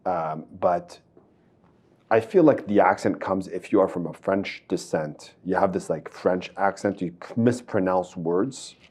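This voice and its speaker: Deep, mellow, soft-spoken male host. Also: measured pace. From came to Canada at five. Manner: hesitant, soft-spoken, with many fillers. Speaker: interview host. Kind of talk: casual interview chat.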